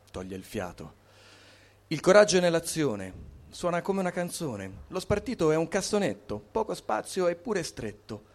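Male poetry reader, average pitch, live spoken-word delivery. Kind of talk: live introduction and poetry reading.

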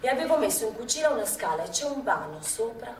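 A woman's voice: fairly high pitch, quick pace, crowd noise. An actress playing an elderly former partisan woman, acting out her wartime memories in a stage monologue. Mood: recounting. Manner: animated, chatty, acts out past scenes. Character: elderly woman, former partisan hospital helper, lively storyteller. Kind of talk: stage monologue in a radio play. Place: theatre stage. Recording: echoey stage from far off, faint hum.

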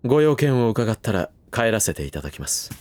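An actor playing a loyal manservant in a Japanese voice drama, coldly polite and distrustful, with formal phrasing and a barbed edge. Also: male voice, fairly low pitch, very animated, a little fast, darkish tone. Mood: curt, guarded.

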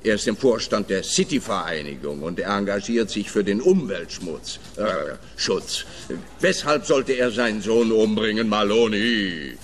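An actor playing a pompous, blustering police officer in a radio play. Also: male voice, lowish voice, expressive, measured pace, slight background noise.

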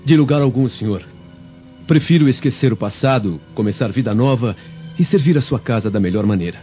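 A man's voice: mid-range voice, lively intonation, a little fast, warm tone, lightly acted. A Brazilian man playing a deferential, guarded young slave in a theatrical radio drama. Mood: guarded, submissive. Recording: dull lo-fi audio with faint music.

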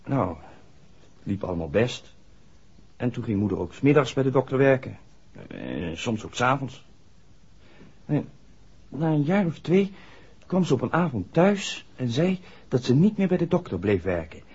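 A man's voice: medium pitch, sing-song, moderate pace, long pauses, a little mellow, husky, full character voice. A man acting an earnest, hesitant young village garage worker in a 1960s radio play, speaking deferentially. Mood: earnest, reminiscing. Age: young adult. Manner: hesitant, deferential to his questioner. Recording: dull 1960s radio drama recording.

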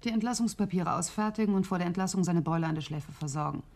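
A brisk, official woman doctor played by an actress in a radio drama. Her voice sits fairly low, and she speaks coolly and formally, with clipped bureaucratic phrasing. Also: a little mellow, lightly acted. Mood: officious.

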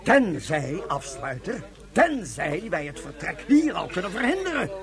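A self-important ruler in a radio drama. His voice sits high for a man and he talks haltingly, with indignation. A clear crowd murmurs behind him. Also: sing-song, exaggerated voice. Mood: indignant, resolute. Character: pompous, flustered male supreme leader of salt people. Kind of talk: radio play acting.

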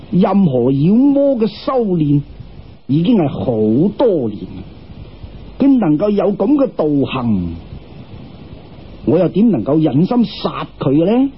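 一个男人用粤语口音扮演严肃而有慈悲心嘅道士师傅，语气似教训人又有威严，录音发闷。. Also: male voice, fairly high pitch, very animated, slow pace, long pauses, slight background noise, pitched below the performer's own voice. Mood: solemn. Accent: Cantonese. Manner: lecturing, solemn, commanding. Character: stern but merciful Taoist master with flying sword. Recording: dull, muffled radio-style recording.

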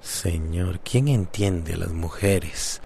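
A deep, gravelly seducer. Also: male voice, takes it slowly, a little hiss or hum, full character voice. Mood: coaxing. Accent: Costa Rican. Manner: coaxing, relaxed. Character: smooth, persuasive man courting a woman. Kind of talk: radio drama acting.

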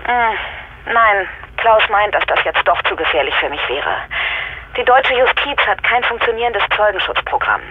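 A woman's voice: medium pitch, sing-song, moderate pace, husky. An actress playing a grateful, shaken woman in an audio drama, speaking earnestly and hesitantly over a satellite phone. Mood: resigned, earnest. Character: grateful, shaken woman rescued from kidnappers, a mother. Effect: satellite phone filter. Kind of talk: German audio drama acting. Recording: tinny, noisy satellite phone line.

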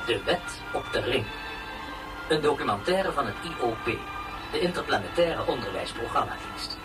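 An actor playing a solemn narrator of a futuristic educational documentary, in scripted style. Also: male voice, mid-range voice, expressive, normal speed, close to an everyday voice. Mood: solemn, explanatory. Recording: far-off television sound with loud music.

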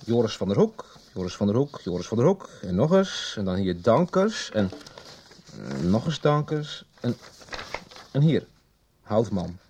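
An actor in a radio soap playing a chatty, conspiratorial library worker with a husky voice and halting, repetitive delivery. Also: male voice, lowish voice, very animated, dark tone, slight background noise. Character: chatty, conspiratorial male library worker poring over records. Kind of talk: 1990s radio soap acting.

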